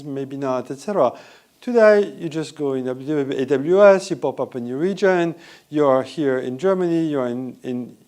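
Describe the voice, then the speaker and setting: A man with a French accent giving a lively, conversational conference talk and often asking the audience questions. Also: mid-range voice. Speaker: conference speaker. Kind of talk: live conference presentation. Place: hotel event room.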